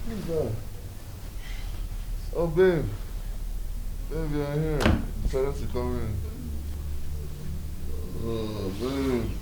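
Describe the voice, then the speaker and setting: A man's voice: medium pitch, drawn-out words, pauses often, reverberant, background noise. An actor with a Nigerian accent playing a panicky, indignant man in a comic screen drama, emphatic and repetitive. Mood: groggy, weary.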